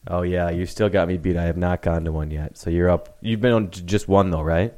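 A man's voice: deep voice, steady tone, fairly quick, mellow. A man hosting a casual podcast chat, joking, laughing and swearing easily. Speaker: podcast host.

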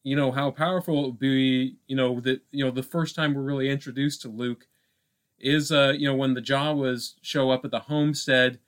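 A male podcast co-host in a casual film discussion. He thinks aloud, circling back and adding asides as he goes. His voice sits at an ordinary middle pitch for a man. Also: steady tone, moderate pace, clear, resonant tone.

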